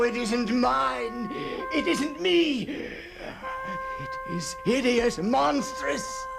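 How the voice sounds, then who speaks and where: A haunted, obsessive gentleman in a gothic radio drama, his voice high for a man and cracked with gravel. He speaks slowly and haltingly, repeating himself with fevered theatrical intensity. Music runs behind him. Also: exaggerated voice. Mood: horrified, frantic. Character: haunted, obsessive gentleman confronting a ghostly double.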